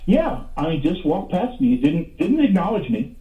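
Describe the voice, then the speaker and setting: A man in his fifties telling stories as an interview guest in a halting, rambling way, repeating words as he goes. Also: on the high side, big pitch swings. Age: 50s. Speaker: radio show guest, paranormal investigator. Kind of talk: casual interview storytelling. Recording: tinny phone line, echoey, distant.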